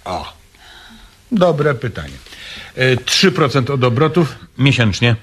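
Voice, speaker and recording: An actor playing a smooth, menacing protection racketeer in a radio comedy, polite, calm and insinuating. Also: male voice, medium pitch, slow pace, exaggerated voice. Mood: calm menace. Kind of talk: radio comedy drama acting.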